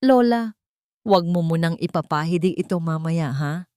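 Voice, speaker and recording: A woman's voice: on the low side, moderate pace, dark tone, dry recording, studio quality, natural voice. Audio story role: young female med student, protective granddaughter, worried, firm, suspicious, performed by a woman narrator. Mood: hushed, protective. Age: young adult. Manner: firm, probing, concerned.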